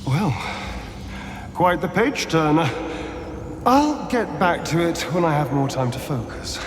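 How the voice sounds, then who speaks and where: An actor playing an elegant, irritable elf rogue in a fantasy video game, with an arch, theatrical manner. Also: male voice, on the high side, very animated, unhurried, slight background noise. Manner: arch, theatrical, clipped asides. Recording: game music behind the voice.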